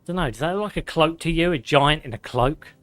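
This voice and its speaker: A man streaming a game, reading lore aloud with sarcastic asides, his voice going hoarse and a little out of breath. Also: fairly high pitch, fairly quick, fairly bright tone, no echo. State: slightly out of breath. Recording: faint music behind.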